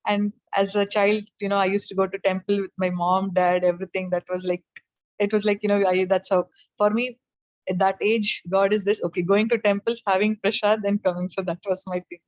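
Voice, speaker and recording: A middle-aged woman from South India whose first language is Telugu, speaking softly over a video call with many fillers as she shares her personal story. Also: mid-range voice, little pitch movement, a little fast, no room sound. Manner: soft-spoken, rambling, with frequent fillers and small laughs. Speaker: guest presenter. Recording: dull lo-fi call audio.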